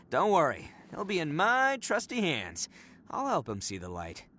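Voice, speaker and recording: A man's voice: fairly high pitch, wildly swooping pitch, unhurried, bright, forceful tone, slight background noise, heavily acted. An actor playing a cocky, teasing young male fighter who acts as a protective older brother in a video game. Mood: breezy. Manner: breezy, teasing.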